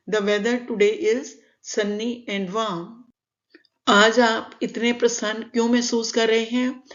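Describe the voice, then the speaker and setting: An older woman with an Indian accent, a former principal and English lecturer, giving a spoken English lesson and repeating each sentence slowly and deliberately. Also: fairly high pitch. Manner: slow, deliberate repetition of model sentences. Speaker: English teacher. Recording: slightly dull lo-fi sound.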